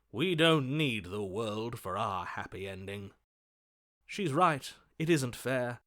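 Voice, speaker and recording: A man's voice: medium pitch, sharp tone. A man voicing a lovestruck, melodramatic romantic hero in a video game, speaking in a theatrical, florid, overwrought manner. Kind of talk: video game character voice acting.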